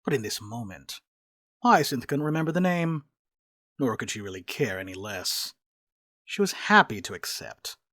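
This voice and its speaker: A man narrating a dramatic reading as a storyteller, with expressive, scripted delivery. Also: mid-range voice, no echo, clean studio sound.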